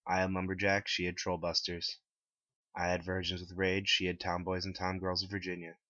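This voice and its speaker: A man reading his own story aloud as a scripted dramatic narration in a deep voice. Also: completely flat delivery, moderate pace, bright, forceful tone, no room sound. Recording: dull lo-fi, dry close recording.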